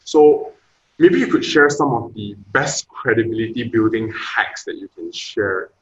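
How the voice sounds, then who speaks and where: A man's voice: on the low side, expressive, measured pace, fairly bright tone. A young man hosting a podcast, asking casual, rambling questions full of fillers. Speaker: podcast host. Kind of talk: interviewing. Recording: roomy echo, dull lo-fi sound.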